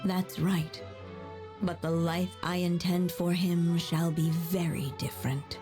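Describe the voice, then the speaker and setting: Low-voiced woman storybook witch narrator. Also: level tone, takes it slowly, music in the background. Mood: resolute. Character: tender, resolute story witch narrating a fairy tale. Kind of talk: scripted storybook narration in a video game.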